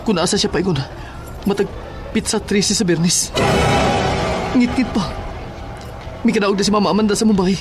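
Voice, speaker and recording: A man performs a young son in a horror audio drama, earnest and worried. His voice is high for a man, with clear music running behind it. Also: fairly even intonation, normal speed, pauses often, lightly acted. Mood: troubled.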